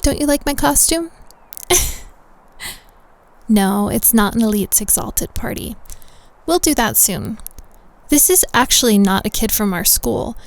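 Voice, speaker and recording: Bubbly woman as a prep school teen, high unhurried voice, teasing and protective, audio roleplay. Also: expressive, gravelly. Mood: reassuring, chatty.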